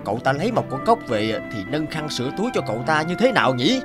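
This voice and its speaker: A scheming student bully in a children's cartoon. He sounds mocking and exaggerated, fairly high and swooping in pitch, with music underneath. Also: sing-song, fast talker. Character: sneering, scheming male student rivals.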